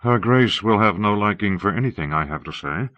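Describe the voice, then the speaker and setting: Man playing a stern, formal lord, low-voiced. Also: animated, fairly quick, a bit bright, no echo, dull audio. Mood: grave, formal. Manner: formal, direct. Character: stern, principled lord, the king's frank counsellor. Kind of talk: audiobook character acting.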